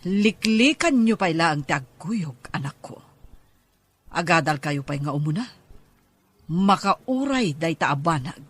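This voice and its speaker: A man's voice: on the high side, expressive, takes it slowly, long pauses, a bit bright, full character voice. A middle-aged man voicing a father in an audio drama, earnestly lecturing his teenage son. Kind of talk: amateur audio drama acting.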